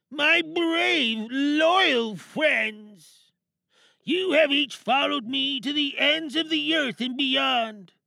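A man's voice: very high voice, vocal fry, sharp tone, dry recording, full character voice. A man playing a grandiose, paranoid villain leader who addresses his followers in theatrical, menacing oratory for an audio drama monologue.

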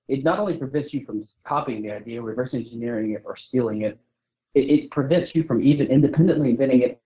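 A man with a husky, slightly rough voice at an average pitch speaks as a guest in an informal discussion. He explains his points emphatically and argues his case. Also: narrow, tinny audio. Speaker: guest speaker on intellectual property.

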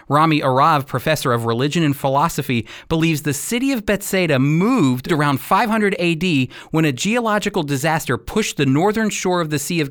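A man's voice: fairly high pitch, a bit bright, dry recording, clean studio sound. A man hosting a radio teaching program, presenting and interviewing.

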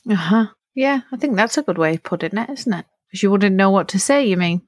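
A woman's voice: medium pitch, expressive, fairly quick, no echo, studio quality. A middle-aged woman hosting a podcast, patiently asking a child simple, encouraging follow-up questions. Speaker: podcast host interviewing a child. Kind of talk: friendly interview questions.

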